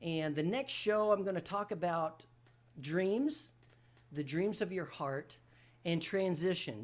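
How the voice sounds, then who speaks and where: A man from Vermont talking casually and encouragingly to his listeners as a show host, in a folksy, hesitant way. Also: a little high. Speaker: show host and singer-songwriter.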